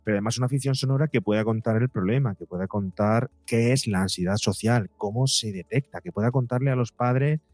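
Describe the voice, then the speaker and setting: Man, mid-pitched voice, podcast guest, long reflective explanations, very dry recording, faint music behind. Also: a little fast, few pauses, darkish tone, dry recording. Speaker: psychologist and podcaster, interview guest. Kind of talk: explanatory interview talk. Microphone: close podcast mic, seated at a table.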